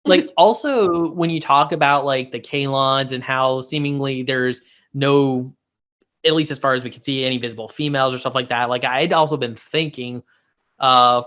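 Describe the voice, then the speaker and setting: A man's voice: mid-range voice, moderate pace, fairly bright tone. A man chatting in an informal, slangy way as a guest discussing a TV show. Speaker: guest co-reviewer. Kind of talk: casual chat, informal TV discussion.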